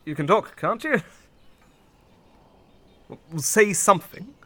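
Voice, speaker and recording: A sour, jealous British undertaker in a radio-style comedy. His voice is high and piercingly sharp, swinging wildly as he splutters in exasperation. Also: expressive, slow, dry recording, exaggerated voice. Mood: bitter, flustered. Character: sour, jealous, indignant male funeral director, easily flustered. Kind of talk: audio sitcom acting.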